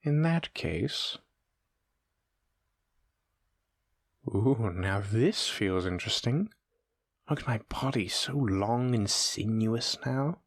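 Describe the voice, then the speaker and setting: A man playing a sadistic, playful shape-shifting monster who muses and taunts with unhurried menace in a horror audio roleplay. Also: mid-range voice, dry recording, clean studio sound. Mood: scheming.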